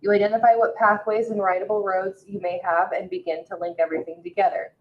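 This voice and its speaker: A woman's voice: mid-range voice, flat delivery, quick pace. An American woman reading a written public comment aloud at a town meeting. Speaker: town meeting staff member. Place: indoor public meeting room. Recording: dull, muffled meeting-room audio.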